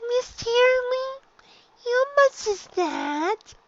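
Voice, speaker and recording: A narrator voicing a sweet, determined little girl pony with a very high, squeaky, childlike voice in a storybook reading. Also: lively intonation, slow, no echo, lo-fi sound, heavily acted. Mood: bright, polite. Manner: childlike, insistent.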